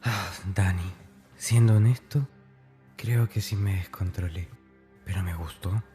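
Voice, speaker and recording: A male actor plays a tormented schoolboy confiding in his diary in a horror radio drama. The voice is fairly low and soft-edged, hesitant and brooding, with a dark streak. Light music plays underneath. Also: a little slow. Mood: dark pleasure. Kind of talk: confessional diary monologue in horror audio drama.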